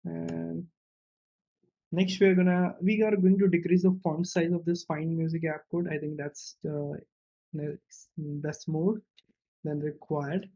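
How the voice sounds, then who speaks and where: A man presenting a casual screencast coding tutorial, thinking aloud step by step in a halting way with little check-in tags. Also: a little high, little pitch movement, vocal fry, warm tone. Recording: dull, muffled lo-fi audio.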